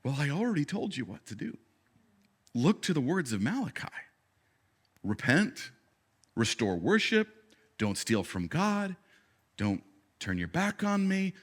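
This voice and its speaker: A pastor softly voicing God as a gentle, inviting male presence. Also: fairly high pitch, sing-song, a little slow, long pauses, husky, heavily acted. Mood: patient. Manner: soft, hushed, inviting.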